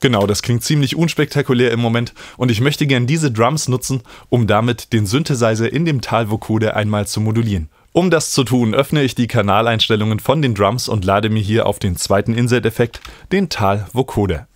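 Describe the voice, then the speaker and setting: A man, calmly explaining music software step by step as a tutorial host. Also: medium pitch, brisk, few pauses, no echo. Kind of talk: screen-recorded software tutorial.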